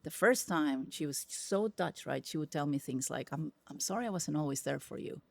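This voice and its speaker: A middle-aged woman with a Spanish accent, Spanish being her first language, tells stories with emphatic, lively energy. Her voice carries a slightly gravelly texture. The sound is dry and close. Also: deep voice, moderate pace, no echo. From Peru. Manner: animated, emphatic storyteller. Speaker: podcast guest, tech executive. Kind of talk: casual interview conversation.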